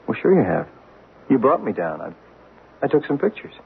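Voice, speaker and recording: A man's voice: mid-range voice, huge pitch swings, normal speed, creaky. An actor playing an easygoing male photographer on a ghost hunt in a 1970s radio drama, speaking in a reassuring way. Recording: thin phone-like old radio broadcast with faint hiss.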